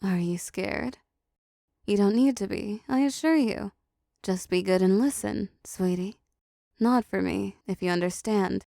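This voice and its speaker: A woman playing a seductive, possessive female demon in an intimate roleplay audio drama, talking slowly and teasingly to the listener with condescending endearments. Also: mid-range voice, creaky, warm tone, no echo, clean studio sound. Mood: seductive, soothing.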